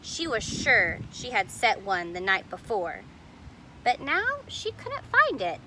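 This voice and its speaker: A woman's voice: medium pitch, huge pitch swings, slow pace, noisy background. A woman reading a picture book aloud expressively, explaining details to young listeners in casual asides. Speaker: storybook reader.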